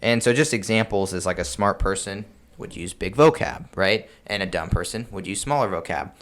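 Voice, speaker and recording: A man's voice: sits low, normal speed, a bit bright, a little hiss or hum. A man hosting a podcast, explaining things in an informal, casual way with many filler words and self-interruptions. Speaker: podcast host giving game master advice.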